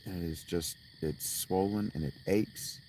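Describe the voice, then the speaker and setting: A man with a hoarse, strained voice, rambling and swearing as he chats casually with viewers on a livestream outdoors at night. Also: low-pitched, measured pace, darkish tone, slight background noise, low-quality recording. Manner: rambling, profane, restarts often.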